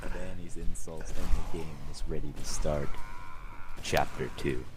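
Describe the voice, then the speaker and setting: A man's voice: very deep voice, steady tone, measured pace, over loud music. A young man on an online voice chat, casually bantering with friends and reading a story aloud in a mock-dramatic way. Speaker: reader of a fan fiction among gaming friends. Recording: noisy group voice-chat audio.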